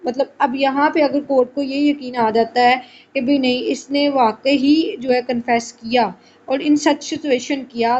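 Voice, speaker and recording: A woman's voice: high voice, a little mellow. A woman lecturing on law, reading out formal text and explaining it conversationally. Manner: switches between slow English reading and conversational Urdu explanation. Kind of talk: explanatory lesson, reading out statutes and glossing them. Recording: muffled lo-fi audio with faint noise.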